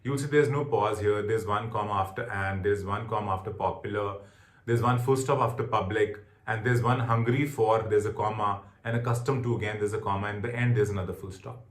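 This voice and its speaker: A man's voice: fairly low pitch, fairly even intonation, a little fast, roomy sound. A man with an Indian accent teaching exam tips as a trainer, emphatic and repeating his key points. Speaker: test-preparation trainer. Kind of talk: instructional lecture on exam tips.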